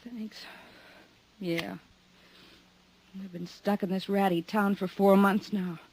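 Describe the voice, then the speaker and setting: An actress playing a weary, guarded woman stranded abroad in a 1950s radio drama, speaking softly and pleadingly. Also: mid-range voice, steady tone, takes it slowly, slight background noise. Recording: old radio broadcast recording.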